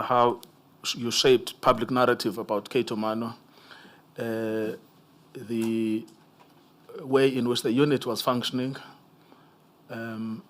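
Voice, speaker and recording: A man, an advocate cross-examining a witness in a measured, hesitant way, with many filler sounds. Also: medium pitch.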